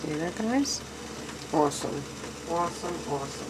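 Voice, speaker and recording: A woman with a low voice hosts a relaxed cooking lesson from her home kitchen. She talks to her viewers in a chatty, enthusiastic way as she works at the stove. A light background hiss runs under the camera-mic sound. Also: moderate pace. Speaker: home cooking show host. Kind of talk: casual cooking demonstration.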